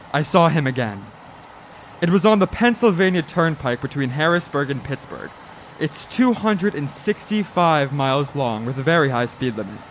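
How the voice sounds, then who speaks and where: A man acts out a frightened traveler of thirty-six in a suspense radio play. His voice sits a little high, and he tells his tale with halting, dramatic urgency. The recording has noticeable background noise. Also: natural voice. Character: haunted, frightened thirty-six-year-old male traveler telling his story. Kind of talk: radio play acting, first-person suspense narration.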